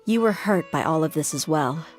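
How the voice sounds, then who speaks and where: Tough female desert mercenary, low voice, measured, frank, world-weary, game drama, music behind. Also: lively intonation. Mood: reassuring, resolute. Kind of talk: dramatic video game voice acting.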